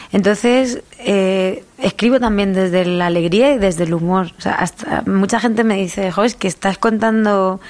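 A young woman from Albacete with a Manchego accent and Murcian touches, chatting in an interview, full of restarts and quick laughs. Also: mid-range voice, a little mellow. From La Mancha, Albacete, Spain. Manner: chatty, many restarts and fillers, laughs easily. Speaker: singer, interviewee. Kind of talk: casual interview chat.

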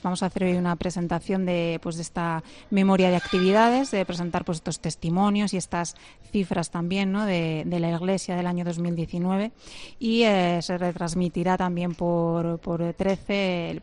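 A woman speaks as a church spokesperson in an interview, her voice sitting low and flat. She hesitates often, with many fillers and restarts as she explains figures. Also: normal speed. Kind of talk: interview answers.

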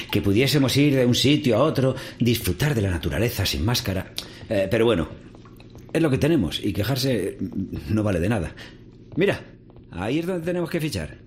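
An actor with a Castilian Spanish accent playing a world-weary middle-aged seaweed farm worker in conversational radio drama. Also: male voice, fairly low pitch, darkish tone. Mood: friendly, practical. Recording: faint background noise and ambience.

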